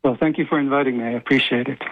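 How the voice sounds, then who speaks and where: Israeli-raised professor on a dull phone line. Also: male voice, medium pitch, fairly even intonation, racing. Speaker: history professor, guest. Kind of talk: radio phone interview.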